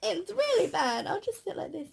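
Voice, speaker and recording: A woman's voice: very high-pitched, sing-song, normal speed, gravelly. A playful, giggly woman vlogger chatting casually. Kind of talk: casual chat.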